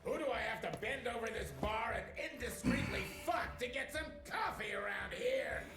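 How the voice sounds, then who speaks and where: An actor playing a foul-mouthed, pompous government secretary who berates everyone in a video game cutscene. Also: male voice, extremely high pitch, big pitch swings, moderate pace, bright, forceful tone, soft background music, exaggerated voice. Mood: impatient. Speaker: government official.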